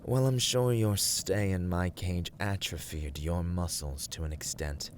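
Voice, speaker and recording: A man playing a smug young villain warlord in an ASMR roleplay, teasing and condescending in formal, deliberate phrases. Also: deep voice, a little hiss or hum. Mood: smug approval. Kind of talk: ASMR roleplay, scripted dramatic monologue.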